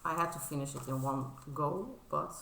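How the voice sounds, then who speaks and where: A woman's voice: deep voice, normal speed, creaky, pushed and bright, roomy sound, slight background noise. A Dutch woman in her thirties, a native Dutch speaker talking casually in English on her crafting vlog, hesitant and full of fillers and restarts, modest and apologetic. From Netherlands. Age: 30s. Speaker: crafting vlogger. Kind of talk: casual show-and-tell vlog.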